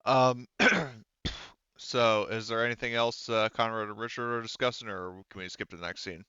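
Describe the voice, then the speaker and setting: Very clear-voiced male game master. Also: on the low side, normal speed, sharp tone, no echo, dull audio. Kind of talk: casual moderation of a tabletop game.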